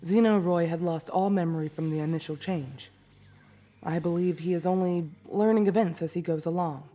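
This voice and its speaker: A woman's voice: lowish voice, fairly even intonation, normal speed, mellow, natural voice. A woman playing a serious, skeptical, proud female warrior who speaks formally and guardedly in an anime fan drama. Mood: questioning.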